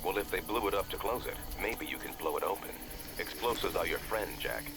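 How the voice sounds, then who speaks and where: Low-voiced, brisk mission handler. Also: male voice, animated, background noise. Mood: dry, instructive. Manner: businesslike, dry, urgent instructions. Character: brisk, dry male mission handler guiding by radio. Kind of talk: video game mission briefing.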